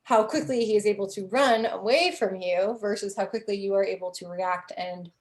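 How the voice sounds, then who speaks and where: A woman's voice: mid-range voice, normal speed. A young adult woman narrating as game master in a casual way, full of "like" and "um" fillers.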